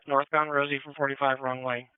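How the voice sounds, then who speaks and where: A man's voice: mid-range voice, flat delivery, brisk, clear, resonant tone, dry recording. A man working as a police dispatcher over two-way radio, tersely repeating reports back in clipped procedural phrases. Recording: narrow-band police scanner radio audio.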